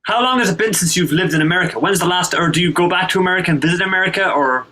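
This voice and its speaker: A man's voice: high voice, racing, sharp tone. An Irish man hosting a podcast, asking easygoing questions and laughing along. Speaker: podcast host. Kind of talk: casual podcast interview.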